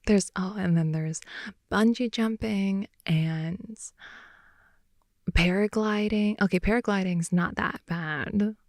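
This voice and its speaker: Slow, creaky young woman rambling playfully. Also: medium pitch, no echo, clean studio sound. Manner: playful, rambling, slangy, drifting between soft whispers and animated speech. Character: wide-awake chatty girlfriend, silly and playful. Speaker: ASMR roleplay voice performer. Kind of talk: improvised intimate ASMR girlfriend roleplay, casual rambling. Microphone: close microphone, dry sound.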